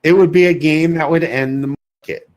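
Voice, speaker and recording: A man's voice: a little high, steady tone, moderate pace. Emphatic man debating on a podcast. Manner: emphatic, asks and answers own questions. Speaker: podcast co-host. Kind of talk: opinionated casual podcast discussion.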